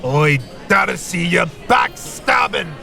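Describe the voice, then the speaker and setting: A man's voice: high-pitched, expressive, slow, creaky, pushed and bright, soft background music, heavily acted. An actor playing a grumpy, indignant male artificer in a fantasy audio drama, blustery and full of old-fashioned slang. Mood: exasperated, offended.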